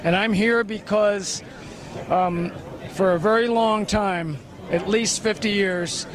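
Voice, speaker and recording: High-voiced male activist, crowd around. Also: fairly even intonation, slow. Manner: hesitant, with many ums. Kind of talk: street interview.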